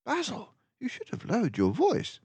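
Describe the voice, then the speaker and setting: A man's voice: very high voice, huge pitch swings, normal speed, darkish tone, breathy edge, dry recording, voice pushed up, exaggerated voice. A man voicing a loyal, stout-hearted mouse companion in a storybook, speaking earnestly and emphatically. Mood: worried. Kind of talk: storybook character voice.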